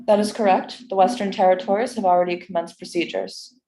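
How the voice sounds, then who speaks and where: A woman's voice: medium pitch, steady tone, fairly quick, mellow, close to an everyday voice, rough recording. A woman playing a cold, commanding female doctor in a staged play reading, clipped, formal and haughty. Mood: businesslike.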